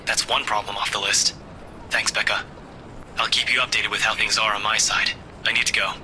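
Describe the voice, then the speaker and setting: A man voicing a blunt, grim young man who breaks bad news haltingly, in a visual novel game. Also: on the low side, level tone, noisy background, natural voice. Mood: urgent, guarded. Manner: hesitant, halting, terse.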